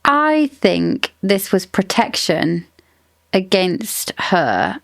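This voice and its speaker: A woman's voice: medium pitch, sing-song, extremely slow, gravelly. A woman co-hosting a casual book-club podcast, thinking aloud as she builds theories. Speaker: podcast co-host.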